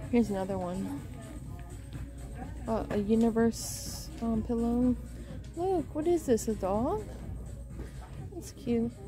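A woman's voice: fairly high pitch, takes it slowly, pauses often, music in the background. A woman vlogging a casual shopping trip through a home goods store, pointing out items and reading prices aloud. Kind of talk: casual shop-with-me narration.

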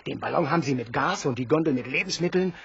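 A blunt, gravelly, hearty sailor. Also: male voice, fairly high pitch, animated, quick pace, fairly bright tone. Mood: eager. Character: brash, warm-hearted Northern sailor eager to escape. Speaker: voice actor. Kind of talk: German audio drama acting. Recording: dull, hissy old recording.

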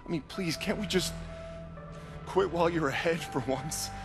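A man's voice: on the high side, moderate pace, close to an everyday voice. An actor playing a straight-laced, protective older brother in a video game, earnest and pleading in his exasperation. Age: young adult. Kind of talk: cinematic video game acting. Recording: dramatic music behind the voice.

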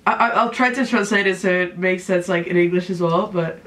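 A woman's voice: lowish voice, a little fast, fairly bright tone. A young woman from Russia with a Russian accent, reacting on camera in a small room with casual, sarcastic commentary. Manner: exasperated, sarcastic, laughs often. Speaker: vlogger. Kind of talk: reaction video chat.

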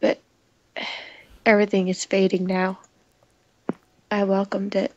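A woman's voice: medium pitch, monotone, a little slow, dry recording, muffled recording. A woman playing and reading a visual novel aloud, mixing dramatic narration with casual commentary.

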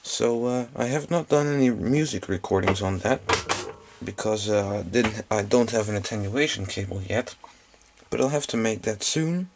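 A man's voice: fairly low pitch, moderate pace. A man giving a casual hands-on gadget demonstration indoors, picked up by a camera microphone. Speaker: gadget collector presenting. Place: indoors at a cluttered workbench. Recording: faint background noise.

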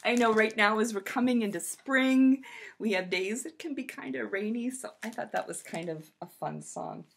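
High-voiced older woman reading to children. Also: moderate pace, creaky, slightly rough. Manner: expressive, playful. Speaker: storyteller reading to schoolchildren. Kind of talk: picture-book read-aloud. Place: small indoor room with brick wall.

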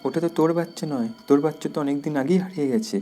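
A woman's voice: sits low, rapid-fire, dark tone, faint music behind. A woman voicing a stern grown man who scolds and threatens. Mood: scolding. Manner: harsh, scolding.

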